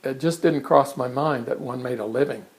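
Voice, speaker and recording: Gravelly Midwestern man in his sixties; casual studio monologue, halting. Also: mid-range voice. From born in England, raised in Kansas. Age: 60s. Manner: conversational, halting with frequent restarts and fillers. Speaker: artist introducing himself. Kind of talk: casual introductory monologue to camera. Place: his art studio in front of a blank canvas.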